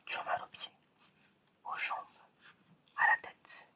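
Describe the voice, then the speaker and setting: An ASMR performer playing an eccentric examiner in a whispered roleplay, speaking slowly and hesitantly and repeating phrases. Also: pushed and bright. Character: eccentric examiner testing whether the listener is human.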